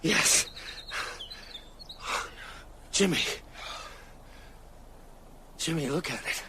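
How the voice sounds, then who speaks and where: A man's voice: fairly high pitch, animated, very slow, bright, forceful tone, slight background noise, exaggerated voice. An actor playing a shaken, haunted, overwrought man in a radio drama. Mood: dazed relief.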